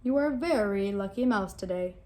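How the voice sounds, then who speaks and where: A woman voicing a big, boastful male lion who calls himself king of the jungle, talking loud and scoffing. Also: medium pitch, animated, a little fast, natural voice.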